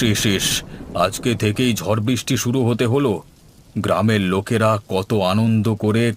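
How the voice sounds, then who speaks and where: A man voicing a warm-hearted, eager village man in a cartoon, with an emphatic, exclamatory delivery. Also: on the low side, natural voice. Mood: worried. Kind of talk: Bengali cartoon voice acting. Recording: music playing behind the voice.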